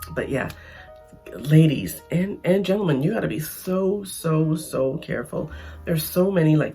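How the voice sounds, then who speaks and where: A Canadian woman talks to her viewers in a chatty, emphatic vlog, her voice gravelly and raspy from losing it. She restarts her sentences often as she makes her point. Faint music plays behind her. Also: extremely low pitch, a little mellow. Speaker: vlogger. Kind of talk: casual opinion vlog.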